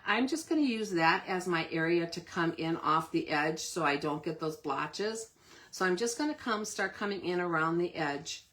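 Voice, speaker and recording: A woman with a slightly hoarse voice who keeps clearing her throat, giving a chatty step-by-step live card-making demonstration. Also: lowish voice, fairly bright tone. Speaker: craft demonstrator.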